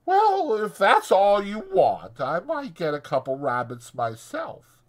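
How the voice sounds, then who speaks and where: Man putting on a brassy high-pitched scornful young woman. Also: huge pitch swings, slow pace, full character voice. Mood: coy, probing. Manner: contemptuous, brassy, slangy rural American.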